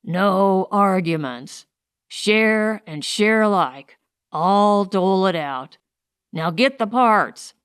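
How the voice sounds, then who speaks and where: A woman narrator plays a tall male outlaw leader in a space audiobook. He gives curt orders with slow, deliberate menace, in a clean, dry voice. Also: medium pitch, lively intonation, clean tone, dry recording. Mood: commanding. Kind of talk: audiobook character voice.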